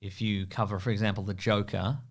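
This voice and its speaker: A man's voice: lowish voice, little pitch movement, fairly quick. A man in casual comedic banter as a quiz contestant, teasing and rambling with mock indignation.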